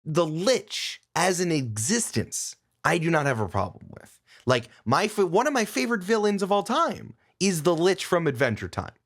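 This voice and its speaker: A man talking casually as a podcast guest, opinionated and animated, often digressing as he argues his point. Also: a little high, moderate pace, few pauses.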